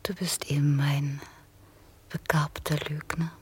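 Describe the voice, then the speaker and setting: An actress playing an aged, gently skeptical mother in a radio play, speaking softly and with understatement. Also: low-pitched, level tone, takes it slowly, noisy background. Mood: gently wry.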